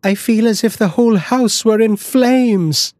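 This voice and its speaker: A man's voice: medium pitch, expressive, a little slow, darkish tone, slightly rough, dry recording, studio quality, pitched above the performer's own voice. A man voicing a terrified, guilt-ridden mother who cries out in anguish.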